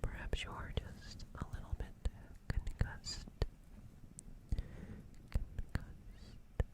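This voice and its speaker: A woman doing soft whispered ASMR roleplay as a gentle, caring alien, close to the microphone, soothing and unhurried, softly repeating words. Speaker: ASMR streamer roleplaying a character.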